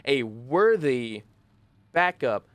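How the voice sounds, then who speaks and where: A man's voice: medium pitch, sing-song, extremely slow, a bit bright, no echo. Phlegmy-voiced man, dry close mic. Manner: rambling, self-correcting, opinionated. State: phlegmy, rough voice after illness. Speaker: gaming video host. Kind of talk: casual commentary on gameplay.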